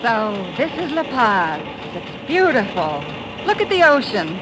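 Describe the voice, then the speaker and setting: An actress playing a quick, inquisitive woman writer in a 1950s radio drama, expressive and questioning. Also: fairly high pitch. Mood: admiring. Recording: noisy old radio recording.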